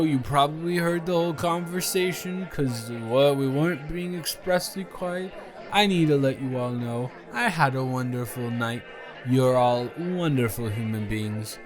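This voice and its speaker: A man's voice: a little high, pushed and bright. A man playing a tipsy, stubborn male roommate at a party, rambling and repeating himself in an improvised audio roleplay. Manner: rambling, tipsy, dragged-out and repetitive. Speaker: audio roleplay performer, channel creator.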